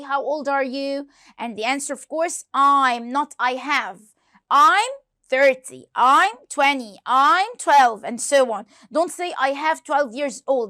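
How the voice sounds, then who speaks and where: High-voiced woman teaching English. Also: no room sound, studio quality. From Egypt. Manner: lively, repeats questions to students. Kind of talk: online grammar lesson.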